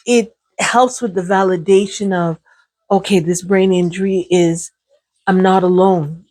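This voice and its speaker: A woman, hosting her own channel and telling viewers about her brain injury in a casual, chatty talk to the camera. She calls her viewers "y'all", laughs now and then, and her voice sounds a little sore and hoarse. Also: mid-range voice, darkish tone, dry recording.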